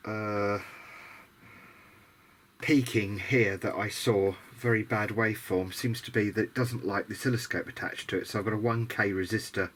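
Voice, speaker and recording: A man's voice: lowish voice, fairly bright tone, slight background noise. A British man giving a casual, muttering commentary as he works on a repair, mildly grumbling and self-deprecating. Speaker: hobbyist electronics video diarist.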